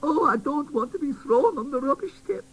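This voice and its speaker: A man voicing a tattered fairy girl, high, pleading and weepy, in a storybook reading. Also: animated, moderate pace, slight background noise, poor audio. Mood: tearful.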